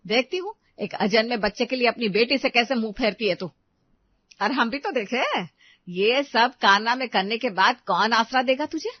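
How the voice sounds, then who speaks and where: A woman's voice: a little high, normal speed, dry recording, natural voice. Woman acting a spiteful, scolding mother-in-law, muffled dry sound. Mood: cruel threatening. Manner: harsh, taunting, commanding. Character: spiteful, domineering middle-aged mother-in-law, scolding and accusing. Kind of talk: Hindi audio story melodrama.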